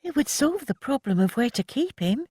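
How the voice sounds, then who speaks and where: Soft, careful young woman, voiced by a reader, high pitch, storybook part. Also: very animated, moderate pace, breathy edge, no echo, clean studio sound, heavily acted. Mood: grateful.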